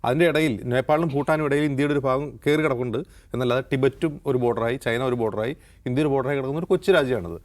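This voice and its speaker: A man with a Kerala accent talks through current affairs on a discussion show. His delivery is fast and detailed, in an explanatory style at a medium pitch. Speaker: news anchor and panelist on a news discussion show.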